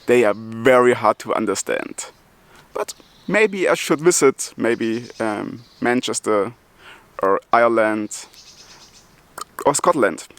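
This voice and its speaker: Slow-talking German man who stutters. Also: mid-range voice, no echo. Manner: stutters with repeated sounds and restarts; chuckles between phrases. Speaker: YouTuber. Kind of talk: casual vlog talk.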